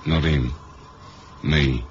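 Very deep-voiced, laconic British spy. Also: male voice, fairly even intonation, drawn-out words, soft background music, full character voice. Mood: grim, subdued. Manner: laconic, colloquial. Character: tough, wry male British spy with sentimental streak. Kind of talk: 1970s radio drama acting. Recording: dull, noisy old radio recording.

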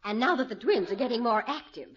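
A woman's voice: a little high, lively intonation, quick pace, close to an everyday voice. A woman playing a bossy, genteel, meddling older mother-in-law in a 1950s radio comedy, insistent and primly polite. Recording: muffled old radio recording.

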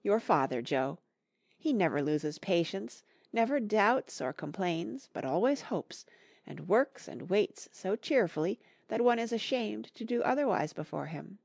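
Woman acting a gentle, creaky-voiced mother. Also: mid-range voice, sing-song, no room sound, clean studio sound, exaggerated voice. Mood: reflective, tender. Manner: soft, tender, measured moral counsel. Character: gentle, patient middle-aged mother, wise and humble. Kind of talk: acted audiobook dialogue from a classic novel.

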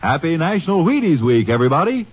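A man's voice: on the high side, very animated, fast talker, breathy edge. A man announcing a commercial with upbeat, showy delivery in 1940s radio style. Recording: dull old radio recording.

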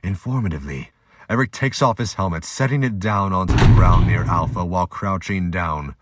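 A man's voice: sits low, fairly quick, over loud music. A man narrating an action audio drama in a dramatic scripted style.

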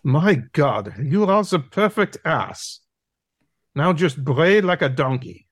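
Bossy canine drama teacher played by a man, fairly high male voice, slow delivery, mocking and brusque. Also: animated, no room sound, clean studio sound. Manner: brusque, commanding, peppered with German words. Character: domineering, mocking male dog masterclass teacher. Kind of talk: audio play acting.

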